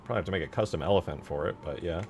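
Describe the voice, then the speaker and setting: A man's voice: low voice, very fast, music in the background. A man giving casual live commentary as he works on game mods, thinking aloud and asking himself questions in an informal way. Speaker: streamer modding a game.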